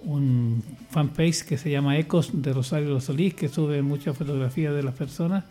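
Older man, rambling and mellow. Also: fairly high pitch, normal speed. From Chile. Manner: rambling, hesitant, many restarts and fillers. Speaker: radio guest, church choir leader. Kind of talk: casual radio chat.

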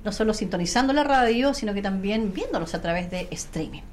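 A Chilean woman hosting a radio interview, steering the talk briskly. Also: medium pitch, animated, a little mellow. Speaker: radio host. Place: radio studio. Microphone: studio microphone. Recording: light background noise, radio studio.

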